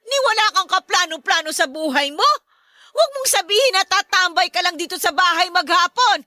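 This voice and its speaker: A very high, piercing mother's voice played by a woman in a radio drama. She is short-tempered and nags relentlessly, scolding her grown children in a bright, sharp tone, close and dry. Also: expressive, brisk, no echo, exaggerated voice. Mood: exasperated, berating. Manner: nagging, berating, exclamatory. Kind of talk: Tagalog radio drama acting.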